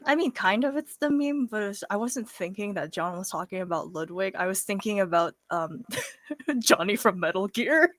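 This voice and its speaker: A woman's voice: medium pitch, expressive, moderate pace, fairly bright tone, dry recording, clean studio sound. A woman playing a tabletop game, joking casually with the group.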